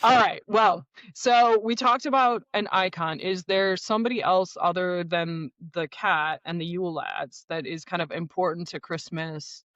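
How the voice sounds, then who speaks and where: A podcast host chats easily with a guest, stopping and restarting phrases as thoughts form. The voice sits mid-pitch and close, with no room sound, though the line is muffled and lo-fi. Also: steady tone, a little slow, hardly any pauses, fairly bright tone, no room sound. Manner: chatty, many fillers and restarts. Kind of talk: casual interview chat. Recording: dull lo-fi sound.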